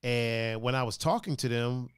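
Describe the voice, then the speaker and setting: A man's voice: medium pitch, moderate pace, clean tone, no echo, studio quality. A man from Brazil hosting a casual chat over a video call, loose and joking with profane banter. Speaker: podcast host.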